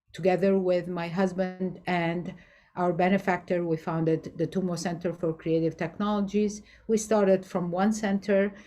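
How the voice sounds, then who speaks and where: Woman panelist from Lebanon, low mellow voice, halting with many fillers. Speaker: panelist, education founder. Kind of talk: panel self-introduction.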